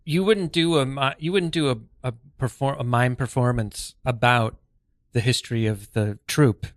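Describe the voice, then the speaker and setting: A man in casual comedic conversation as a panelist. His voice sits at a medium pitch, recorded dry and close without room sound. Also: moderate pace, no echo.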